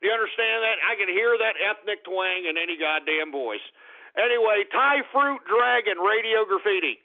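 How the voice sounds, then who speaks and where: A male radio host taking calls on a call-in show, ranting and shouting exclamations. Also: sits high, lively intonation, normal speed, vocal fry. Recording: lo-fi narrow-band broadcast with faint music.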